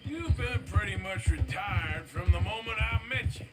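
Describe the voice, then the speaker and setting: Game role: wry Southern gunslinger, gruff and teasing, dry drawl over loud music. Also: male voice, sits high, lively intonation, moderate pace. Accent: American Southern. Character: wry, gruff cowboy outlaw, protective and teasing. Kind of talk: video game acting. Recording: loud music behind dialogue.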